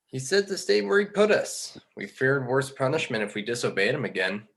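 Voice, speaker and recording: A man playing a skeptical, bookish fallen angel in a film script table read, articulate and measured. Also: mid-range voice, big pitch swings, creaky, fairly bright tone. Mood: dry.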